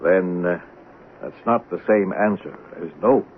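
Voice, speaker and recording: An actor in a 1970s radio drama playing a formal, measured, persistent police sergeant. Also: male voice, sits low. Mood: insistent, sceptical. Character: probing, persistent male police sergeant interrogating suspects. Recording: tinny narrow-band 1970s radio recording, noisy.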